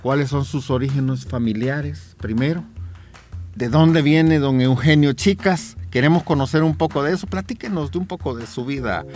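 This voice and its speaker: A man's voice: mid-range voice, moderate pace, darkish tone, soft background music. A man hosting a radio interview, affable and adding short agreeing replies.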